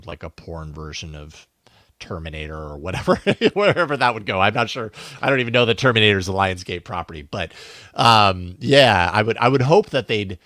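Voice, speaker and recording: Low-voiced man co-hosting tech talk. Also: fairly bright tone, no room sound, clean studio sound. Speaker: podcast co-host presenting news. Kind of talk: casual tech news discussion.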